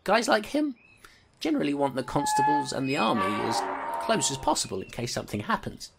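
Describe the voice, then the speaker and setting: A tough, wry constable in an audio drama, her voice low but swinging widely. She talks bluntly and guards what she says. Music plays behind her. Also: huge pitch swings, fairly quick, creaky, full character voice. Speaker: constable narrating her case. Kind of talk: audio drama narration and dialogue.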